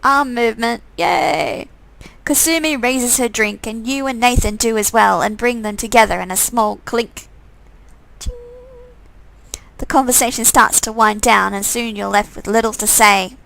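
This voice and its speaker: Woman streaming a story game, high creaky voice, reads it out w/ wry little comments. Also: unhurried, a bit bright, low-quality recording. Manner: playful, wry side comments. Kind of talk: reading visual novel aloud with casual asides.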